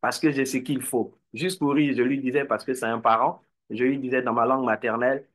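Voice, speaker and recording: A man's voice: mid-range voice, steady tone, a little fast. A man giving a motivational talk to an online meeting, exhorting and repeating phrases. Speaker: network marketing speaker.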